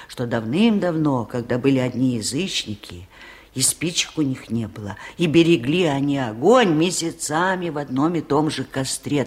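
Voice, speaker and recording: A woman's voice: extremely low pitch, darkish tone. An older woman playing a wise, lonely village aunt in a 1970s radio play, speaking in a folksy, musing way.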